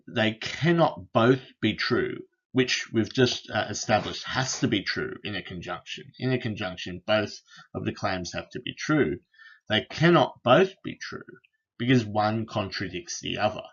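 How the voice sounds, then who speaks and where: A man lecturing on philosophy over slides, unhurried, with frequent fillers and restarts. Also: on the low side, lively intonation, slightly rough, lo-fi sound. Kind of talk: explaining logic over slides.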